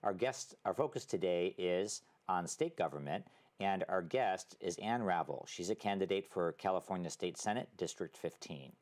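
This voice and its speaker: A man hosting a talk show, asking questions conversationally in halting, hesitant phrases. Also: low-pitched. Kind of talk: conversational interview.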